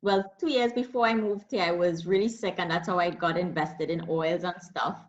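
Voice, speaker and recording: A woman's voice: fairly low pitch, steady tone, normal speed. Friendly, chatty woman guest from Trinidad and Tobago, muffled lo-fi line. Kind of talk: casual interview chat.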